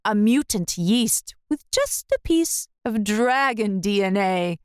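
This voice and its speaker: A woman's voice: mid-range voice, expressive, slow pace, clean tone, dry recording, studio quality. A woman voicing a grand, salesy advert and warning label in a children's storybook. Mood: hyped.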